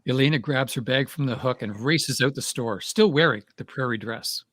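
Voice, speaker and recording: A man's voice: mid-range voice, normal speed, fairly bright tone, dry recording. Man reading stage directions, very dry sound. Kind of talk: scripted narration.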